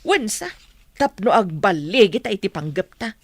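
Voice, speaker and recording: A woman's voice: medium pitch, very animated, moderate pace, husky, no echo. A woman playing a scheming, meddling middle-aged village mother in an Ilocano radio comedy drama, with an Ilocano accent.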